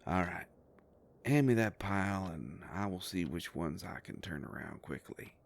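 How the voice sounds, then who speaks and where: Man acting a very deep, gravelly detective. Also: animated, normal speed, heavily acted. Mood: reassuring, businesslike. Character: gruff but kindly Southern werewolf private investigator, protective boss. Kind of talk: intimate audio roleplay drama.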